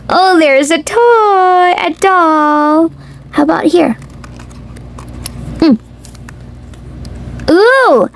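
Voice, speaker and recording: Female children's read-aloud host, extremely slow delivery, big lively pitch swings, speaks straight to young listeners. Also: vocal fry. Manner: sing-song, exclamatory, addressing young children directly.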